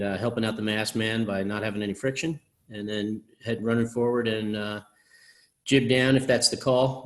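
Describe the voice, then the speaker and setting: A man on a racing sailboat's crew, describing a maneuver step by step in a methodical way. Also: on the low side. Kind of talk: webinar explanation. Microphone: video call.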